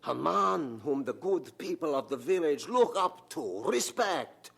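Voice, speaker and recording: Radio drama role: self-pitying, grasping old German father, German accent, swinging widely in pitch, deliberate pace. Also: sing-song, gravelly, fairly bright tone, breathy edge, full character voice. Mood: insistent. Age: older. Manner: emphatic, guilt-tripping, inverted foreign-sounding phrasing.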